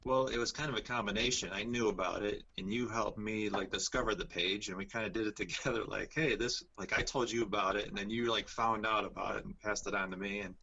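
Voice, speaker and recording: A man's voice: fairly low pitch, steady tone, fast, creaky, few pauses, bright, forceful tone, breathy edge, muffled recording. A man appearing as a guest over a video call, easygoing, filling his talk with "like" and "you know". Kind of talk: casual video-call chat.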